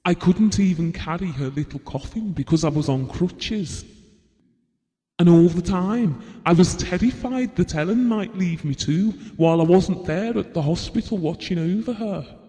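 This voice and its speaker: A gentle bereaved father acted by a man tells his story in an intimate audio drama. His voice is slightly high for a man and mellow, with reflective, slow delivery. Long reverb hangs around every word. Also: lightly acted. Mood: grieving. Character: Bill, grieving, gentle, practical husband and bereaved father. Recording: long reverberant hall sound.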